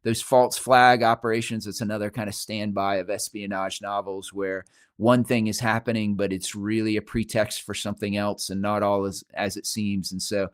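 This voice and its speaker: A man's voice: fairly low pitch, level tone, normal speed, clear, resonant tone, no echo, clean studio sound. A middle-aged man, an author, talking as a podcast guest in a thoughtful, explanatory way with fillers and restarts. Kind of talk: casual interview chat.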